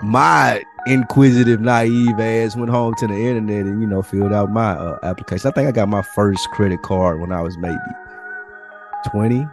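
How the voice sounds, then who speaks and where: Casting: American man, forties, low voice, slangy podcast storyteller, swears freely, music clearly underneath. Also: moderate pace. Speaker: podcast co-host. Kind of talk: casual chat, personal stories.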